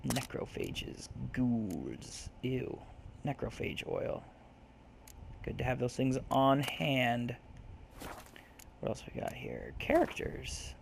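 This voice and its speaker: Creaky-voiced man doing casual game commentary. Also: mid-range voice, very animated, slow, pauses often, background noise. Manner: musing aloud, informal. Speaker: gaming video host. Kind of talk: casual let's play commentary, reading game text aloud.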